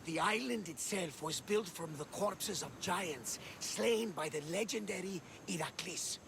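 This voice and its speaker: A man's voice: sits high, measured pace, vocal fry, noisy background. A man playing a talkative, genial Greek ship captain who relishes telling tales, in a video game cutscene. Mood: enthusiastic storytelling.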